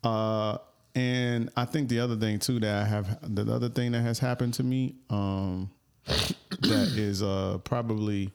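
A man's voice: on the low side, fairly even intonation, unhurried, clean tone. A man co-hosting a podcast, talking in blunt, profane casual chat and laughing through his stories. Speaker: podcast co-host.

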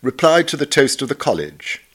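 A man reading a scripted obituary aloud as narrator, in a measured, formal manner. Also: medium pitch, very animated, fairly bright tone, husky. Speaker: reader, narrator.

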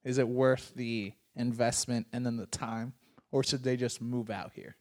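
A man's voice: mid-range voice, level tone, moderate pace, darkish tone. Laid-back joking male host. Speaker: podcast host. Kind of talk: casual interview chat.

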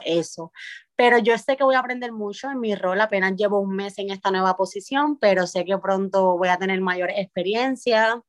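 A woman from Puerto Rico with a Puerto Rican Spanish accent, a guest on a podcast, talking in a reflective way with pauses and restarts. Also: mid-range voice, no room sound. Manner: thoughtful, with frequent pauses and restarts. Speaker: podcast guest, parent coach. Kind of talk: reflective conversational interview.

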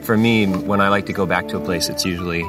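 A man with a deep voice talks in a rambling, reflective way, pausing to find his thought. The field recording catches him from some distance, with loud music laid over his casual chat. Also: steady tone.

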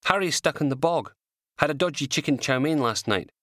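A man's voice: a little high, expressive, fairly quick, fairly bright tone, no echo, clean studio sound, pitched above the performer's own voice. Man voicing a harried, plain-spoken woman support worker. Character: harried, chunky female offender support worker.

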